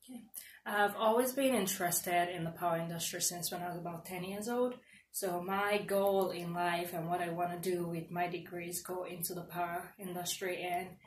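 A young woman from Nigeria, an engineering student, answering interview questions in a loose, chatty way with fillers. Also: fairly low pitch. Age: young adult. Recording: roomy echo, faint noise.

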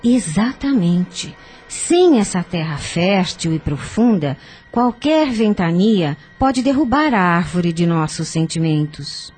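An actress playing a wise, patient older aunt in a radio soap, giving solemn, measured spiritual counsel. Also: female voice, lowish voice, sing-song, darkish tone, breathy edge, heavily acted. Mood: solemn, consoling. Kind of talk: radio soap opera acting. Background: music.

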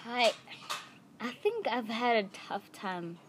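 A young woman, a musician guest talking in a quick, animated and giggly way. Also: on the high side. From South Africa. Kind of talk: casual interview chat. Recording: clear background noise with clicks.

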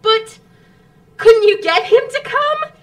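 A woman's voice: very high voice, expressive, slow pace, full character voice. A woman playing an anxious, flustered society lady in a radio drama, pleading in an imploring, breathless, theatrical manner. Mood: tearful pleading.

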